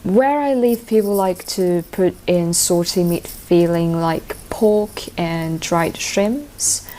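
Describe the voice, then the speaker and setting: Low-voiced woman, cantonese first language, chatty informal english answers. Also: slow, warm tone, rough recording. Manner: fluent, informal, uses slang. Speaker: IELTS speaking candidate. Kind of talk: answering interview questions.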